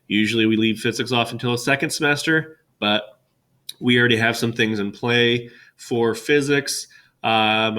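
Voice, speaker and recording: A middle-aged male teacher with a loud, boisterous classroom voice, talking casually and rambling with fillers, recorded on a webcam in an empty classroom. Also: on the low side. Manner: rambling, chatty with many fillers. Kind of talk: casual classroom welcome talk.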